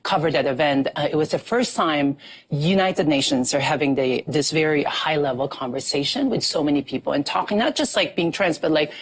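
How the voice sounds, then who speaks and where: A woman's voice: low-pitched, fairly quick, slight background noise. A woman, a guest talking earnestly in an interview, with many fillers. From Philippines. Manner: earnest, emphatic, many fillers like "you know" and "like". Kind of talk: casual interview talk.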